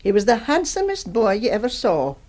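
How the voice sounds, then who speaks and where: A shrewd old schoolmistress in her seventies in a radio drama. Her voice is fairly high and lilts widely as she delivers wry, teasing, deliberate judgements. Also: sing-song, a little mellow. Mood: dry, scathing. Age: 70s.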